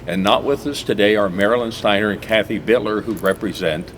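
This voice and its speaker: A man's voice: fairly low pitch, a little fast, fairly bright tone, a little hiss or hum. A middle-aged man hosting a local TV talk show in an easygoing way, with lots of fillers and restarts. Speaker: host. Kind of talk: casual local TV chat.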